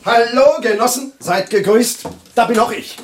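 An actor playing a vain, boisterous writer in a German radio drama, with a pompous, declamatory delivery. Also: male voice, very high voice, moderate pace, slight background noise. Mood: jovial, boastful. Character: vain, boisterous male hack writer, self-important.

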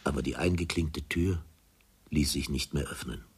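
A man's voice: extremely low pitch, moderate pace, creaky, very noisy. A man narrating a literary radio drama in a formal, solemn manner. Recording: music behind the voice.

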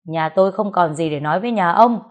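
A woman's voice: on the low side, brisk, dull audio, lightly acted. A woman voicing a raging grieving father. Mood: furious. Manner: shouting, furious. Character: enraged grieving father, middle-aged man.

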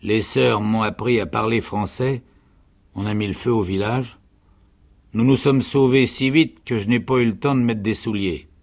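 Man playing a meek, halting young peasant mother. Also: lowish voice, creaky. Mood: frightened, hesitant. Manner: soft, hesitant, halting murmur. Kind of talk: dramatic literary reading.

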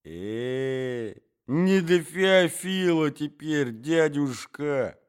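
Guy playing a pleading young gent, excitable, high-ish, clear voice. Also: drawn-out words. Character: flustered young gentleman, eager and pleading.

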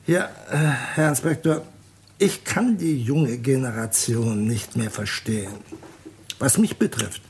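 Actor as formal old-fashioned butler, noisy recording. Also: male voice, medium pitch, a little mellow, lightly acted. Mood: disapproving. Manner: formal, measured, servile politeness. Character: old-fashioned, deferential English butler, ex-soldier, disapproving of youth. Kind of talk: German radio crime drama acting.

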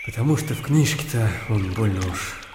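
A plain-spoken peasant man, acted by an actor in a radio play. His talk is folksy and earnest, with a very animated pitch. Clear noise and echo surround him. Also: normal speed, natural voice. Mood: opinionated, earnest. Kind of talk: radio drama acting. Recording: echoey, noisy outdoor radio drama scene.

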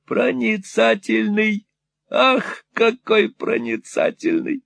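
A cackling, fawning old moneylender. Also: male voice, high voice, steady tone, slow pace, vocal fry, fairly bright tone, dry recording, voice pushed up, full character voice. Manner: wheedling, gushing exclamations. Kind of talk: audiobook reading.

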